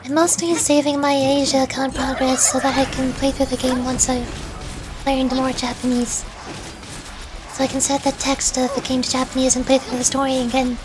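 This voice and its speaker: High-voiced woman streaming a game, music behind. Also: level tone, normal speed, low-quality recording. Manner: playful, self-deprecating joking. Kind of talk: casual live gameplay commentary, chatting with viewers.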